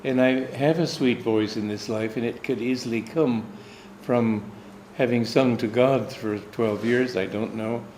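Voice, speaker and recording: A man's voice: mid-range voice, steady tone, unhurried, few pauses, a little mellow, a little hiss or hum. An American man, a swami and spiritual teacher, recounting a personal anecdote in a meandering storytelling way. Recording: echoey room, faint ambience.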